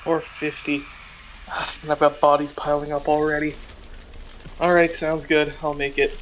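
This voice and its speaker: A man's voice: a little high, little pitch movement, measured pace, a bit bright, low-quality recording. A man playing a tabletop game, casually speaking in character over a sizzling background noise.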